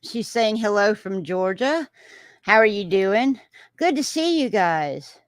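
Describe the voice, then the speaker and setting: A woman's voice: medium pitch, animated, slow pace, no room sound. A woman with a Texan accent hosting a casual livestream, reading out viewer comments and reacting in a rambling way with many filler sounds and restarts.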